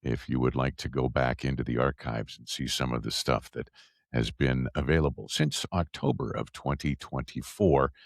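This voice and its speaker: Low-pitched male radio host, dry sound. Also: normal speed, vocal fry, dry recording. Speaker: podcast and radio show host. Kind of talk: podcast intro and sponsor reads, casual radio interview.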